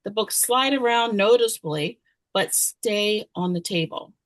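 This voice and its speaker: A woman's voice: medium pitch, unhurried, a bit bright, no echo, low-quality recording. A woman narrator reading scripted screenplay directions at a table read.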